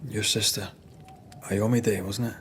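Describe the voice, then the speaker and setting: An actor playing a devoted, gentle ghost hunter in a game cutscene, soft-spoken and earnest. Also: male voice, fairly low pitch, flat delivery, a little slow, a little hiss or hum. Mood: concerned. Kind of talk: dramatic game cutscene acting.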